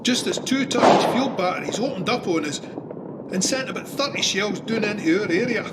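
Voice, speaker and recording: A Scottish soldier tells his war story in an audio drama. His voice is fairly high and slightly distant, with loud ambient noise around it. He is plainspoken and wry, chatting as a storyteller would. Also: expressive, fairly quick. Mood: animated recounting. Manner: conversational, storytelling. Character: young Scottish cavalryman soldier recounting trench shelling, wry and plainspoken. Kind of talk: dramatised first-person memoir narration.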